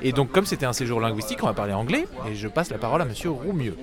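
Fast-talking young man hosting. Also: medium pitch, big pitch swings, over music. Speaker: student radio host. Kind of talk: casual interview. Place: ferry deck outdoors.

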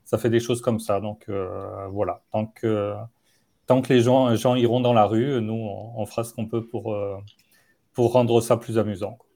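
Mellow-voiced wry man. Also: lowish voice, fairly even intonation, moderate pace. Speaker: guest, site creator. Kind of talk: casual panel discussion.

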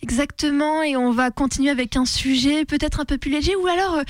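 A woman's voice: high-pitched, brisk, no echo. A woman co-hosting a live radio show in a casual presenting style, recorded in a studio.

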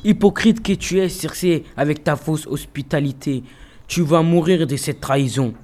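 A teenage boy acts a resolute young Greek hero in an audio drama. His voice sits fairly high and he speaks in loose, colloquial slang like a modern youth. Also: moderate pace, darkish tone, natural voice. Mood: threatening. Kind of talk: school-made audio drama.

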